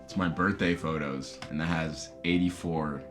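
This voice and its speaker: A man's voice: very deep voice, steady tone, moderate pace, over music. A twenty-year-old man answering interview questions about himself in a casual, candid, self-deprecating way.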